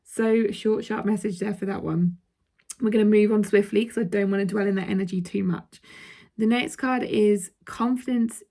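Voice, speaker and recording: Croaky-throated woman giving a card reading. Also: mid-range voice, steady tone, moderate pace, warm tone. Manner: chatty, checks in with "okay?", laughs between points. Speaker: intuitive card reader. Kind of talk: casual card reading to viewers.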